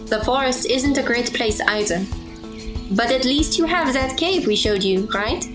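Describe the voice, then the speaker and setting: A woman's voice: fairly high pitch, measured pace, music in the background, natural voice. A woman playing a level-headed, good-natured teenage girl with a sensible, teasing manner in an audio drama.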